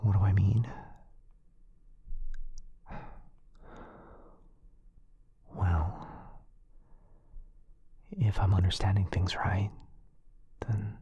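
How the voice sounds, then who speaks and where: Breathy, whispering gentle wizard, acted by a man. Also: deep voice, no echo, muffled recording, heavily acted. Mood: grave, hesitant. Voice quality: soft, breathy, often whispering. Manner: tender, halting, apologetic. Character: gentle male wizard, frightened and protective of his companion. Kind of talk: boyfriend ASMR roleplay.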